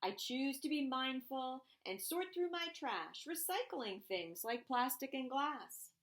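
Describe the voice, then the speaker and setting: A woman reading a rhyming children's picture book aloud, expressively and deliberately, with emphasised phrases. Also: high-pitched, sing-song, fairly bright tone.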